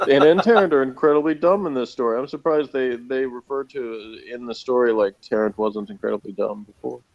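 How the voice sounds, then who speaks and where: A man with a medium-pitched voice in a slightly dull, lo-fi recording. He hosts a relaxed review chat, reading from notes and adding his own chuckling remarks. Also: normal speed. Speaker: review show host.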